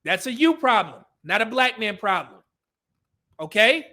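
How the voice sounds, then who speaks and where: A man's voice: high-pitched, sing-song, measured pace, pushed and bright. A male commentary host ranting over clips, blunt and profane, with a preachy edge.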